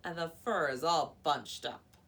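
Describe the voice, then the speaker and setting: A woman's voice: deep voice, unhurried, gravelly, fairly bright tone, far from the mic, exaggerated voice. A woman voicing an indignant mama bear from a storybook, huffing and growling her words. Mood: huffy indignation.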